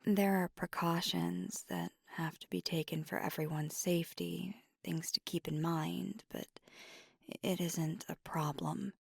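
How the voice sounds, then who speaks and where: A woman acts out a tender, devoted vampire girlfriend for an ASMR roleplay. Her voice is a low, very breathy whisper with a gravelly edge. She speaks haltingly and earnestly, as if nervous to confess. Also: steady tone, no echo, clean studio sound, heavily acted. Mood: earnest, reassuring. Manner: hesitant, halting, earnest delivery. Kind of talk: scripted intimate ASMR roleplay.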